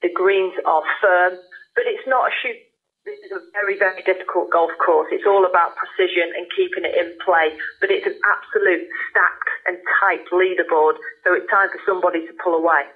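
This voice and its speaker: A woman's voice: on the low side, steady tone, brisk, husky. A woman reports on sport in an unscripted, analytical way. Her voice comes through a narrow, phone-like radio link that muffles it. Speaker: golf reporter.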